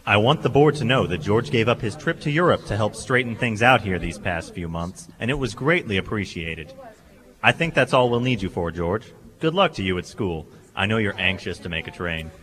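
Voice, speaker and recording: Courteous chairman played by a male actor, lowish voice, measured formal radio-drama delivery in a reverberant hall. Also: animated, a bit bright. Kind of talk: staged old-time radio play acting. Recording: reverberant stage hall recording.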